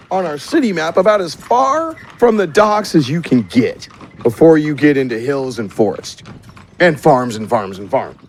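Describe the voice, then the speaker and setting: A man's voice: high voice, slight background noise. A man working as game master, narrating a tabletop fantasy game in improvised, conversational descriptions. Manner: conversational, feeling his way through descriptions. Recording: music under the voice.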